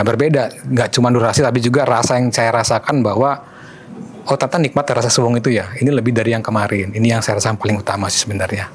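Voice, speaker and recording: A man giving a semi-casual spoken testimonial as a training participant. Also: medium pitch. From Bogor, Indonesia. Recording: clear background noise, room ambience.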